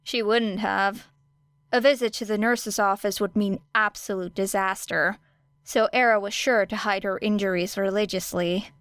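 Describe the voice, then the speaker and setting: A woman's voice: mid-range voice, fairly even intonation, moderate pace, a bit bright, clear, resonant tone, no room sound. A woman reading scripted story narration as an audiobook narrator.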